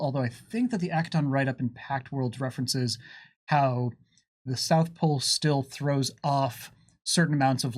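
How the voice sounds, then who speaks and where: A man answering viewer questions in a casual livestream chat, with playful, animated asides. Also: mid-range voice.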